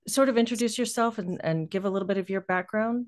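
A woman's voice: mid-range voice, quick pace, darkish tone. A young woman hosting a podcast interview over a video call, introducing her guest in a friendly, somewhat hesitant way. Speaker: podcast host. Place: room indoors. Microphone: video call webcam.

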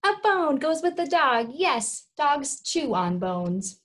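High-pitched young woman teaching small children. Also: sing-song, slow pace, a bit bright. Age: young adult. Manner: encouraging, simple repetitive phrasing. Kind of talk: instructional lesson for young children. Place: small room indoors, sitting on the floor.

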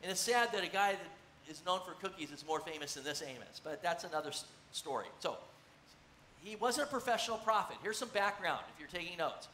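A middle-aged man preaching a casual, conversational sermon from a church stage, animated and gesturing as he teaches. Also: high-pitched, fairly quick. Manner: animated, conversational, gesturing while seated on a stool. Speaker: pastor. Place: church stage, large room. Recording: echoey church room, faint noise.

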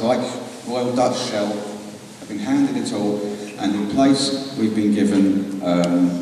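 A man in his forties with a British accent, giving a conference talk into a handheld mic with many ums and pauses. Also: mid-range voice, darkish tone, a little hiss or hum. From England. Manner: halting, many ums and uhs. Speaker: conference speaker. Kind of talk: public talk. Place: large hall on a stage. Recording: echoey hall from far off.

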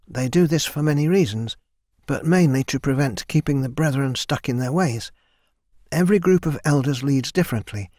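A man's voice: on the high side, a little mellow, no echo, studio quality, pitched above the performer's own voice, close to an everyday voice. A man voicing an earnest, slightly impatient young woman reciting lessons.

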